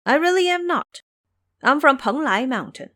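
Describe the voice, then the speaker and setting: A cunning monkey hero played by a woman in an audio drama. He is brash and boastful, with a sly theatrical delivery that swings widely in pitch. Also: very animated, normal speed, pushed and bright, no echo, studio quality, full character voice. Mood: sly, smug. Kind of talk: audio drama character acting.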